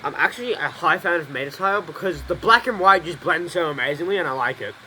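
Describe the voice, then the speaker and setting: A man's voice: on the high side, lively intonation, fast, sharp tone, a little hiss or hum, poor audio. Rambling, swearing male game streamer. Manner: rambling, repeats himself, swears. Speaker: gameplay streamer. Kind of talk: casual game commentary.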